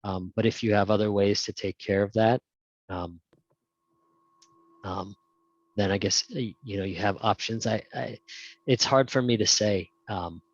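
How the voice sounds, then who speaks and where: A man answers questions in a casual webinar, his voice on the low side. He hesitates a lot, filling gaps with ums and restarting sentences. The audio is dry and a little muffled, typical of a video call. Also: fairly even intonation, measured pace, long pauses, dry recording. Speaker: guest speaker on insurance.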